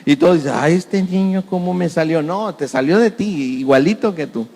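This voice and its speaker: A middle-aged pastor preaches in a fairly high voice. He speaks conversationally and repeats himself often. The sound carries the long echo of a church hall. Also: normal speed, a little mellow, husky. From Mexico. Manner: conversational, with frequent repetitions and folksy sayings. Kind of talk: sermon.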